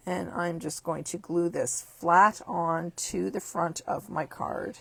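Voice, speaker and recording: A hoarse woman with a croaky voice, chatting upbeat through a card-making tutorial step by step. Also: fairly low pitch, fairly even intonation, slow pace, fairly bright tone, no room sound. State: hoarse, losing her voice. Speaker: craft demonstrator.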